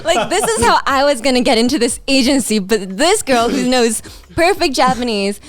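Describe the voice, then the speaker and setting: A woman's voice: high-pitched, very animated, normal speed, a crowd in the background. A young American woman chatting casually as a podcast guest, telling self-deprecating stories with a giggly manner. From United States. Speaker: podcast guest, idol and voice actor. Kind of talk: casual chat.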